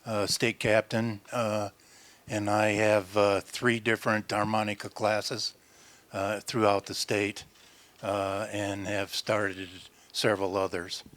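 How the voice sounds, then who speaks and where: Man, lowish voice, slow, monotone, hesitant with lots of uhs. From Tennessee. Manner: hesitant, many fillers. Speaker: state captain, harmonica teacher. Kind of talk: brief self-introduction.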